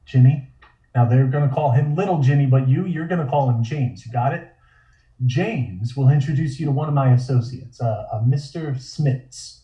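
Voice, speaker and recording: A man playing a shrewd, smooth, quietly commanding 1920s film studio boss in a comic table read. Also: mid-range voice, close to an everyday voice. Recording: roomy, dull home microphone.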